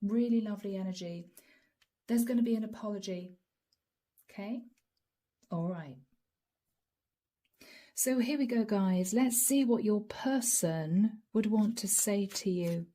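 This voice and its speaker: A middle-aged woman giving a channeled tarot reading. She speaks softly and intimately, often dropping to a whisper, and her voice is a little hoarse from a long session. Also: mid-range voice, a little slow, long pauses. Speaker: tarot reader.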